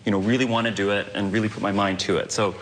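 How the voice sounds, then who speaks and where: A man who is an interviewed language learner, speaking earnestly with some halting. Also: on the low side, level tone, poor audio.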